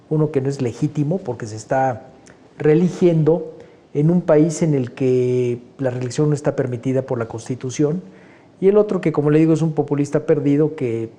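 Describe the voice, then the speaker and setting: A man's voice: on the high side, mellow. A man in his sixties from Honduras, raised in Mexico, with a Mexican accent, giving opinionated, conversational answers full of fillers. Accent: Mexican Spanish. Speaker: guest painter. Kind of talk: interview answers.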